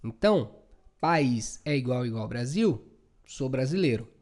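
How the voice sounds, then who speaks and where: A man's voice: medium pitch, lively intonation, unhurried, a little mellow. A Brazilian man giving an informal, chatty coding tutorial, often checking in with short tag questions. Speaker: programming instructor.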